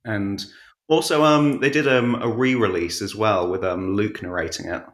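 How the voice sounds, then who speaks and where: A man's voice: on the low side, moderate pace. A man chatting casually as an interview guest, answering in a hesitant, understated way, recorded with an echoey, roomy sound. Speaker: podcast guest and audiobook narrator.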